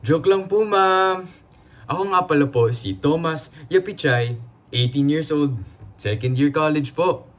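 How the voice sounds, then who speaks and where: A man's voice: on the high side, a little slow, natural voice. A Filipino man playing a polite, playfully teasing eighteen-year-old student in an amateur dramatic reading. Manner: deferential, playful. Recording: faint background noise.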